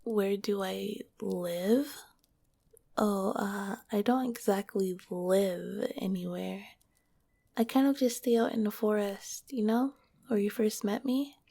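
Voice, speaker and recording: A soft, breathy whisper of a kind slime monster girl, as a woman plays her in an ASMR roleplay. The tone is mellow and dark, with a gravelly edge. She speaks gently and hesitantly, right up at the microphone. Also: medium pitch, fairly even intonation, takes it slowly. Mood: shy. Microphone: close microphone.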